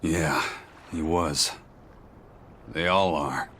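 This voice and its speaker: A man playing a stoic, tough pirate first mate in a fantasy video game, terse and deadpan. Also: very deep voice, very slow, full character voice. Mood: dry, calm. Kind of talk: English-dubbed fantasy game acting.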